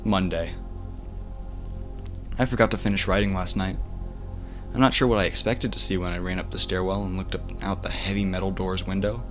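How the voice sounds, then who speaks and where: A man's voice: deep voice, fairly even intonation, fairly quick, long pauses. A man reading a first-person horror story aloud as bedtime narration, like an amateur audiobook with the occasional stumble. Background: music.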